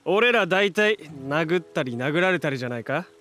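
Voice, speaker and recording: An actor playing a brash, warm-hearted young hero in a superhero audio drama, talking casually, cocky but sincere. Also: male voice, on the high side, expressive, moderate pace. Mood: reflective.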